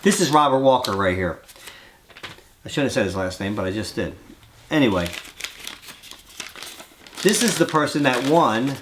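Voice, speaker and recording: Congested male vlogger with a cold, mid-pitched, rambling and chatty. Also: animated, normal speed, long pauses, fairly bright tone, a little hiss or hum. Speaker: vlogger reading fan mail. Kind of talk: casual chat.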